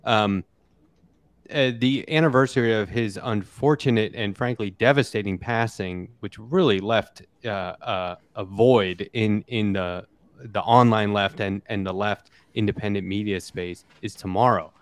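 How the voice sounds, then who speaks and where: A man hosting a casual political podcast, talking in a halting, conversational way as he interviews his guests. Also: fairly low pitch, dry recording.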